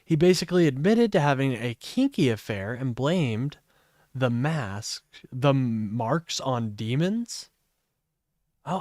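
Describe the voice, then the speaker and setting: Blunt, profane man with a lively voice. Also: dry recording, studio quality. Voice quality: says his voice is high-pitched. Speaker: livestreamer reacting to a clip. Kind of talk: casual political commentary.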